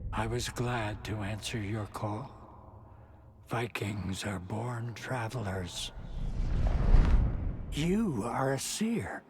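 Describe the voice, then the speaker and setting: An actor playing a cryptic, blunt old rocker with Viking bravado, speaking ominously and deliberately in a video game. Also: male voice, fairly low pitch, animated, noisy background, heavily acted. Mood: knowing, welcoming. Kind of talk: cinematic video game acting.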